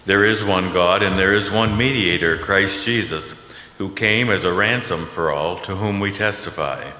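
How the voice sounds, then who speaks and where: An older man, a pastor, leading worship with solemn, measured liturgical readings and prayers in a church. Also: low-pitched, sharp tone. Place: large church sanctuary, at a pulpit. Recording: echoey church hall, long reverb.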